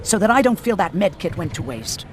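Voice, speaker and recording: Sardonic, animated doctor, noisy background. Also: female voice, lowish voice, fairly quick. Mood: sardonic, challenging. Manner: sarcastic, curt. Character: curt, sardonic female doctor guarding scarce supplies. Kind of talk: cinematic video game acting.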